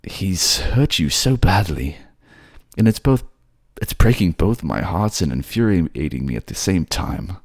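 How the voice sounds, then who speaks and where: A man puts on a devoted male sea creature for an audio drama, pitched well above his natural register. He speaks with tender, earnest concern, in a dry, close recording. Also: sing-song, a little slow, no echo, full character voice. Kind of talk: dramatic ASMR roleplay.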